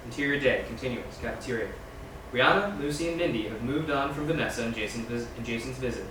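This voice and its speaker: Guy reading script directions quickly, super echoey, far from the mic w loud background noise. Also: mid-range voice. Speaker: reader of scene directions. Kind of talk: scripted narration at a screenplay table read. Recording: echoey, noisy room from far off.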